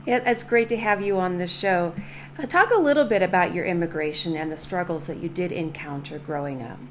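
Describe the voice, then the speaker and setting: A woman radio host opening her show and interviewing a guest in a smooth, scripted manner. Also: fairly low pitch, a little fast, a little hiss or hum.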